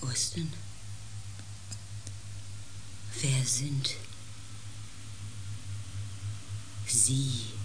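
A man's voice: medium pitch, steady tone, very slow, a bit bright, a little hiss or hum, low-quality recording. An actor playing a thoughtful, uneasy male member of a space expedition in a radio play, speaking in a hesitant, intimate way. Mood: hushed, probing. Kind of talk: German science fiction radio play acting and narration.

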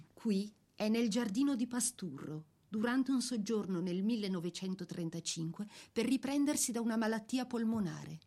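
A woman narrating a scripted literary biography for a radio drama in a measured, literary delivery. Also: medium pitch, darkish tone.

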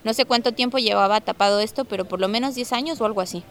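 A woman's voice: fairly high pitch, quick pace, a little hiss or hum. A woman giving a conversational, first-hand account, telling her story as an artist resident. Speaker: artist resident recounting a squat and eviction. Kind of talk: personal testimony, conversational storytelling.

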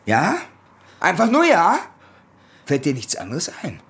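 An actor playing a menacing young soldier and domineering elder brother in a German radio play, with a commanding, taunting way of talking. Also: male voice, fairly high pitch, huge pitch swings, a little slow, vocal fry, fairly bright tone, slightly rough, full character voice. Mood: pressing, impatient.

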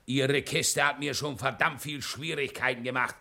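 An actor playing a gruff but hearty ship's boatswain with a North German accent in a radio crime play. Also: male voice, mid-range voice, fairly even intonation, moderate pace, pushed and bright. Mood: gruff, irritated. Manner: blunt, hearty, colloquial. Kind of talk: 1960s German radio crime drama acting.

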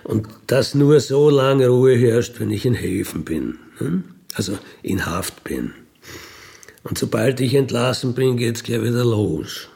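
Slow, gravelly Austrian man in his fifties. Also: medium pitch, lively intonation, mellow. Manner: colloquial, matter-of-fact, often tags statements with a questioning "ne?". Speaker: career criminal telling his life story. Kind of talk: unscripted personal storytelling.

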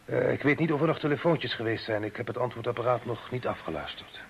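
An actor playing a polite, formal and dutiful private secretary in a radio play. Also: male voice, mid-range voice, fast, creaky, natural voice. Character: deferential, efficient male private secretary. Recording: dull, faintly hissy radio recording.

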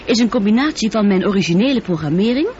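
An actress the playing a highly intelligent, humanlike female spaceship computer in a radio play, speaking in measured, articulate sentences. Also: expressive, a little mellow, lightly acted. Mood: calm, analytical. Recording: dull noisy old radio play recording.

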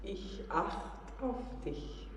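Zeitlose Geisterkönigin, sehr langsam, hallend. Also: female voice, very slow, noisy background. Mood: calm, commanding. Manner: incantatory, stately. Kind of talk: solemn verse radio drama acting. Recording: echoing hall with long reverb.

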